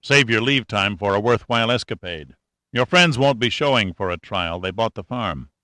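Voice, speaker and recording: A man's voice: fairly low pitch, lively intonation, moderate pace, bright, forceful tone, no room sound. A man voicing a cool, wry air force major with a dry, clipped manner, in an audiobook reading.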